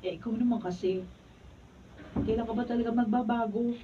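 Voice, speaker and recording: Anxious, reproachful Filipino wife played by an actress for radio drama, on a dull, noisy recording. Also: female voice, on the high side, fairly even intonation, a little fast, far from the mic, natural voice. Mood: worried, reproachful. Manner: scolding, pleading. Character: worried, exasperated wife of a reckless policeman.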